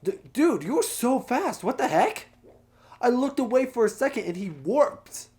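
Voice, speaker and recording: A man's voice: extremely high pitch, big pitch swings, moderate pace, darkish tone. A man hosting a let's play, giving casual, joking commentary as he plays.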